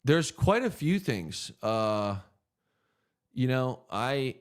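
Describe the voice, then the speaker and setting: Smooth-voiced man in his thirties. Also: medium pitch, very animated, drawn-out words. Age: 30s. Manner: laid-back, joking, thinks aloud as he answers. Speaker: podcast host answering viewer questions. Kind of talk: casual livestream Q&A.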